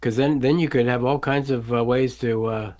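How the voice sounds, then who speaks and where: A man's voice: mid-range voice, steady tone, moderate pace, a little mellow. A man with an ordinary mid-range voice hosts a loose conversation over a video call. He chimes in often with short encouraging replies. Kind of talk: casual video call chat.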